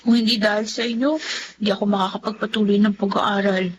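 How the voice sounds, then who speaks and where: A woman's voice: mid-range voice, fairly even intonation, fast, slight background noise, natural voice, low-quality recording. A woman playing an earnest, respectful young Filipina college student in a radio drama, sincere and eager. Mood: grateful, tender.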